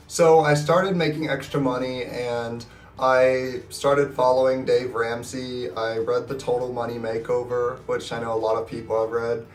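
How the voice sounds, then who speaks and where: A man in his twenties, sick and talking with a nasal, scratchy voice, in an upbeat, rambling way as he tells his story in a casual vlog. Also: mid-range voice. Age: 20s. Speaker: personal finance vlogger. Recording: roomy echo, distant mic, faint guitar music.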